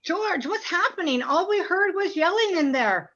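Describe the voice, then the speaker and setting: A woman's voice: very high voice, animated, normal speed, a bit bright, slight background noise. A woman playing a flustered office worker in an amateur radio play, over a video call. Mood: anxious. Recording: muffled online video call audio.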